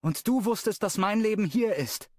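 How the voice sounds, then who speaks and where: A man's voice: lively intonation, moderate pace, a little mellow, no echo, clean studio sound. A man voicing a fiery young woman. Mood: angry. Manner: pitch raised high into a woman's register. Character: fiery young woman, sharp-tongued and stubborn.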